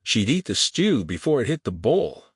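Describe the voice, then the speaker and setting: A man's voice: mid-range voice, big pitch swings, moderate pace, slightly rough, dry recording, studio quality. A man voicing a mocking, jeering townsman in a western audiobook. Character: mocking, jeering men in a frontier town crowd.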